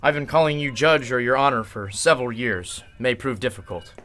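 A man's voice: mid-range voice, fairly quick, fairly bright tone, natural voice. A man playing an affable, courteous district attorney in a radio drama, chatty and curious. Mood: affable, charming. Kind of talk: golden-age radio drama acting.

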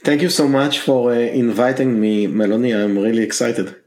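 A man with an Israeli accent, a scientist and company co-founder, telling his story as a podcast guest in a measured, hesitant way with many fillers. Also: medium pitch, little pitch movement, darkish tone. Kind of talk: podcast interview.

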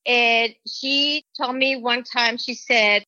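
A woman's voice: on the high side, fairly even intonation, bright, forceful tone, no echo. Earnest woman with a Mexican accent. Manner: rambling, earnest, halting restarts. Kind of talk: personal testimony. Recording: dull lo-fi recording.

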